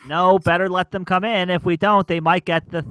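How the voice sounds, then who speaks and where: A man's voice: high-pitched, level tone, normal speed, fairly bright tone, clean tone, no room sound. A man playing a menacing, lisping gangster thief in a comic old-time radio parody, his speech garbled and funny. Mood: wary, grumbling.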